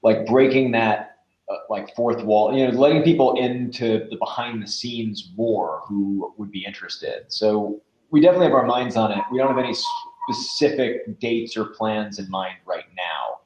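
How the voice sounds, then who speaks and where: A man's voice: on the low side, normal speed, a little mellow. A man chatting casually as an interview guest, talking in a loose, informal way with many asides. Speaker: podcast creator, interview guest. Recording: roomy echo, dull lo-fi sound.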